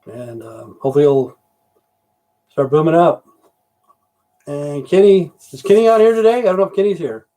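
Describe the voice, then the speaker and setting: A man's voice: fairly high pitch, moderate pace, long pauses, warm tone. A middle-aged man from Idaho, rambling and swearing through a casual livestream with frequent "you know" fillers. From Idaho, USA. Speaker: livestream host.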